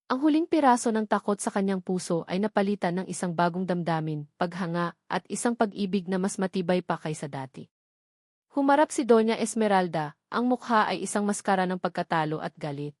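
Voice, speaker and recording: A woman's voice: mid-range voice, darkish tone, no echo, studio quality. A woman narrating a romantic drama story from a script in an earnest, sentimental manner. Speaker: narrator of love story channel.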